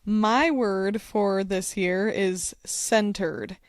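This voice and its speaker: Woman co-host, calm and reflective, takes her time, warmly encouraging her sister. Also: mid-range voice, drawn-out words, no echo, clean studio sound. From Wyoming. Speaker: podcast co-host. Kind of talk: casual chat.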